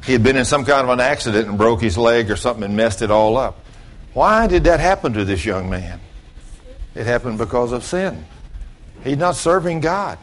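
A man's voice: medium pitch, animated, moderate pace. A man, giving an informal storytelling sermon in a folksy, plain-spoken way, talking straight to his listeners. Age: late 60s. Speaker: preacher.

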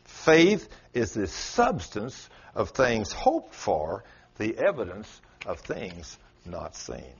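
A man with a South Texas drawl preaches in a plain, folksy style. His pitch rises and falls widely for emphasis, and his voice has a husky edge. The recording sounds dull and muffled. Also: huge pitch swings, slow. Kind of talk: sermon.

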